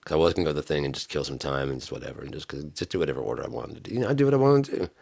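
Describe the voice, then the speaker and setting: A man's voice: rumbling low, animated, very fast, creaky, darkish tone, dry recording. A man tells a rambling, profane story, laughing often. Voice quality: raggedy voice from smoking and screaming. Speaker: vlogger telling a story. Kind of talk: casual storytelling monologue.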